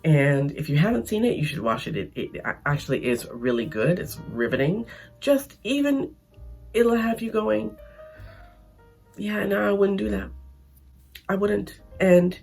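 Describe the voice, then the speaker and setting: A Canadian woman talking through an opinion vlog in a chatty, emphatic way, hoarse from losing her voice. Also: medium pitch, animated, a little slow, pauses often, darkish tone, faint music behind. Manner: chatty, emphatic, frequent restarts. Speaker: vlogger.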